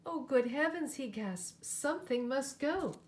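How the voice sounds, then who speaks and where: A woman voicing a grand, excitable gentleman in a storybook, theatrical and exclamatory. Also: high voice, measured pace, voice pushed up. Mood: dismayed. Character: excitable, grand wealthy gentleman delighted by trees. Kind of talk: storybook character voice.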